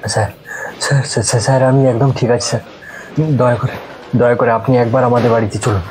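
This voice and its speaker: A man's voice: mid-range voice, steady tone, fast talker, darkish tone, natural voice. A man acting an exhausted, distraught young man in his twenties who pleads repetitively in an audio drama. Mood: desperate, pleading. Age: 20s.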